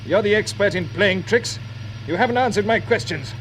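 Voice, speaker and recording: An actor playing a steady, decisive space captain in a 1950s radio drama, with brisk, clipped commands. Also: male voice, sits high, slight background noise, natural voice. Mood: defiant. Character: steady, decisive male space captain leading his crew. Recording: vintage radio serial with music behind.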